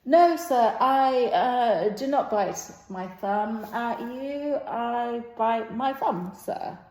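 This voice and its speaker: A woman playing a boastful, quarrelsome male servant in an old stage play, in a halting declaimed delivery that moves between muttering and projecting. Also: fairly high pitch, a little mellow. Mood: defiant. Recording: roomy, echoing sound.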